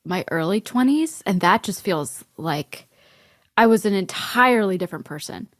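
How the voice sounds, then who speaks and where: A woman's voice: mid-range voice, expressive, dry recording, studio quality. Chatty woman just turned thirty. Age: 30s. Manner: chatty, lots of fillers like "like", quick laughs. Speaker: podcast co-host. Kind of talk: casual chat.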